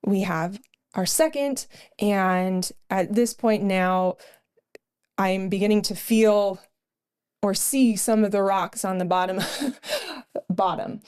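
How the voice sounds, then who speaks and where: A woman's voice: mid-range voice, slow, long pauses, a little mellow, smooth. A middle-aged woman co-hosting a podcast, telling her personal testimony in an earnest storytelling way with frequent fillers. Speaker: podcast co-host.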